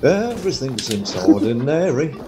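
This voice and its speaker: A man's voice: mid-range voice, sing-song, normal speed, noisy background. A man making dry, wry remarks while playing a multiplayer game. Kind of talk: casual gaming chat. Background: game music.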